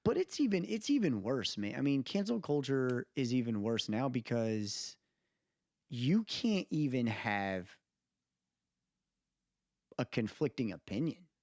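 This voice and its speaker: A man's voice: medium pitch, sing-song, measured pace, long pauses, darkish tone, dry recording. Man, podcast co-host, mid-range voice, conversational and emphatic, wandering delivery, very dry sound. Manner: rambling, emphatic, often checks with listener "you know what I mean". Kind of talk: casual opinionated podcast chat. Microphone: close mic on remote podcast call.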